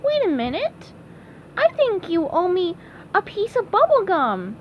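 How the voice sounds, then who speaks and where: Woman voicing a high-pitched, wide-eyed childlike cartoon sponge. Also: huge pitch swings, measured pace, exaggerated voice. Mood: polite, cheery. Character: childlike, polite, bewildered male cartoon sea sponge with amnesia. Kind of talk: read-aloud children's cartoon storybook. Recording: dull, noisy recording.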